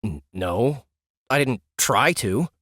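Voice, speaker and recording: A man's voice: mid-range voice, lively intonation, slow pace, a bit bright, husky, dry recording, clean studio sound, full character voice. A man voicing an uncertain, ordinary man stranded in a game world, speaking hesitantly in an audiobook. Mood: flustered. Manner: hesitant, tentative. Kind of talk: audiobook character acting.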